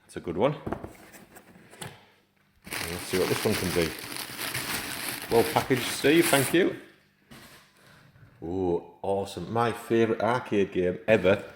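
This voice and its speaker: A middle-aged man with a croaky voice from a cold, chatting casually in a home vlog as he works and comments on it. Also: fairly low pitch, takes it slowly, pauses often, background noise. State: hoarse from a cold. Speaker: gaming channel host.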